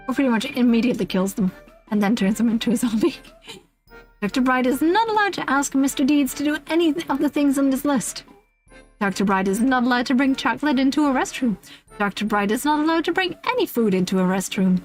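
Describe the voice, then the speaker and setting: A woman streamer reads aloud with an amused, casual delivery. Her voice sits a little high, and she slips playful asides into formal wording. Soft music plays underneath. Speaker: streamer reading a humorous list aloud. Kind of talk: casual livestream read-aloud.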